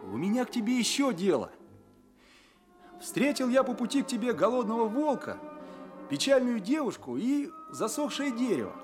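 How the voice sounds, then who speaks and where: An actor playing a naive, plaintive poor labourer in an audio fairy-tale play. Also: male voice, extremely high pitch, big pitch swings, moderate pace, soft background music. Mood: pleading. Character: foolish poor male labourer, plaintive, self-absorbed, naive.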